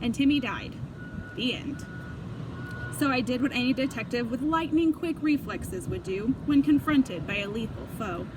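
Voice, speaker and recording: A woman reading a children's book aloud in an expressive storytelling style. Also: a little high, normal speed. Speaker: storybook reader. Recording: clear background noise.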